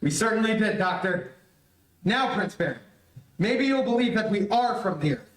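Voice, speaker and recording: A man's voice: high-pitched, expressive, moderate pace. A man playing an earnest, plucky young adventure hero in an old radio serial. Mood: earnest, eager. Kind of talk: 1930s radio serial acting. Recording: echoey room.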